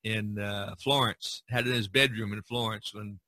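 A man's voice: fairly low pitch, steady tone, moderate pace, sharp tone, dry recording. A man talking casually as a podcast host, telling stories in a rambling, discursive way. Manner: rambling, storytelling. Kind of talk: casual discussion of art history.

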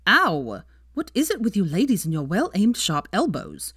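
A woman's voice: medium pitch, wildly swooping pitch, normal speed, fairly bright tone, slightly rough, dry recording, clean studio sound, full character voice. A woman voicing a brash, teasing male friend in a story. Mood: mock complaint.

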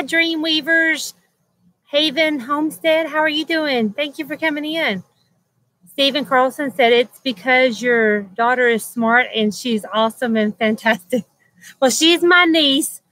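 A woman in her forties chats through a livestream from a webcam outdoors, reading out comments with lots of ums and easy laughs. She talks slowly in a bright, fairly high voice. The sound is dry and close. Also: no echo, poor audio. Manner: chatty, laughs often, many ums and restarts. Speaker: livestream host. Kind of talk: casual live chat reading comments. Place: outdoors by a house wall.

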